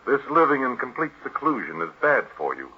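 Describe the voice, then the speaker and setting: An actor playing an earnest, formal family doctor in a 1940s radio drama, deferential but pleading. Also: male voice, medium pitch, big pitch swings, brisk, husky. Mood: urging, concerned. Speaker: physician. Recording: muffled vintage radio drama recording.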